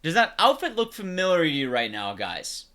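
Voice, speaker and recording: A man's voice: on the high side, big pitch swings, moderate pace, bright, forceful tone, soft background music. A man giving casual live gameplay commentary in a conversational way, explaining things to his audience. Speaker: streamer and commentator.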